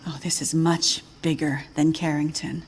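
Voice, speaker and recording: An actress playing a grave older female scientist in a video game cutscene, speaking in a measured, solemn way. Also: sits low, flat delivery, a little hiss or hum, natural voice. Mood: grave, foreboding.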